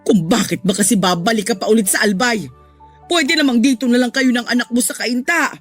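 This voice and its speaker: A woman's voice: fairly high pitch, soft background music, lightly acted. An actress playing a tearful, pleading Filipino mother and grandmother in a radio drama, drawing out her words. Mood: upset. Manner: drawn-out, pleading, clinging.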